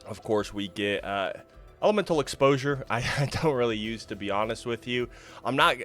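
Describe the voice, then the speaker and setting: A man talking casually through game menus as he thinks aloud, still recovering from an illness and sounding a little rough. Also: mid-range voice, lively intonation, moderate pace, a bit bright, faint music behind. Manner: chatty, thinking aloud while browsing menus. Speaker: gaming YouTuber. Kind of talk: casual gameplay commentary.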